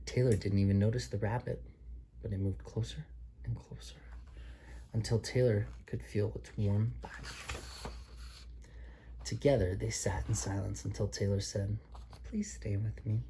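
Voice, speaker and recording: A man reading a children's picture book aloud as a father to his child, expressively and with drawn-out emphasis. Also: lowish voice, warm tone, a little hiss or hum.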